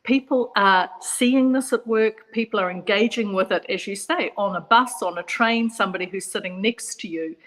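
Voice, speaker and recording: A middle-aged woman with a New Zealand accent talks as a psychologist guest on a radio discussion. She is measured and reflective, repeating phrases to stress her points. Her voice sits at an everyday middle pitch. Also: fairly bright tone, rough recording.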